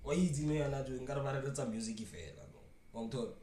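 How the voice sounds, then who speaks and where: A man's voice: medium pitch, fast talker, mellow. A South African man commenting casually, rambling and repeating words while mixing in local slang. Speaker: reaction streamer. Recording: echoey room, far from mic.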